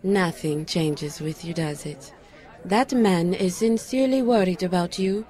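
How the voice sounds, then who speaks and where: An actress playing a petite, caring but sharp-tongued former lover in a noir audio drama, speaking earnestly and pointedly. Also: female voice, on the low side, measured pace, a faint crowd, lightly acted. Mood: reproachful. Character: tiny, sharp-tongued, caring female old flame, a pixie.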